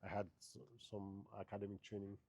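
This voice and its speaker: A man's voice: lowish voice, completely flat delivery, fairly quick, a little mellow. A man from Haiti, in his thirties, answering interview questions in a thoughtful, hesitant way with many fillers and restarts.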